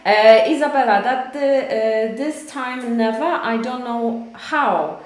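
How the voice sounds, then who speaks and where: Woman teacher, hoarse, unhurried and hesitant, fairly high voice, roomy echo. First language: English. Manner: slow, hesitant, many fillers and restarts. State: hoarse, losing her voice. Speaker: English teacher. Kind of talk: live online English lesson.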